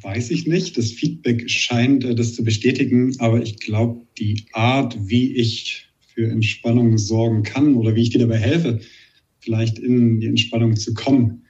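A man with a Saxon German accent and a slight lisp, a meditation teacher answering interview questions calmly and thoughtfully. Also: medium pitch, far from the mic, lo-fi sound. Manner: calm, measured, searching for words.

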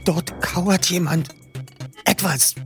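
A man's voice: sits high, takes it slowly, fairly bright tone, faint music behind, pitched above the performer's own voice. A man doing a stammering, frightened teen detective.

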